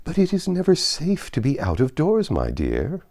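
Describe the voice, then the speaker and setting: A man reading a storybook as a fond, fussy old gentleman father who worries gently. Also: a little high, huge pitch swings, moderate pace, pitched above the performer's own voice. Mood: worried. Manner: fussy, worrying, doting.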